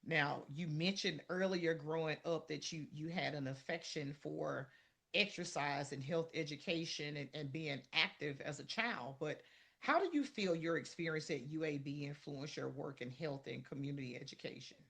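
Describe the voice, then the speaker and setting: A man's voice: a little high, normal speed, vocal fry, sharp tone, breathy edge. A man hosting a podcast, asking questions in an encouraging, affirming way. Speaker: podcast host. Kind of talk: interview questions.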